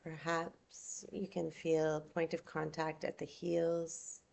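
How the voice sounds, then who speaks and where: A woman yoga nidra instructor leading a guided meditation in a soothing, unhurried, gentle manner, leaving long pauses. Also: low-pitched, monotone, creaky.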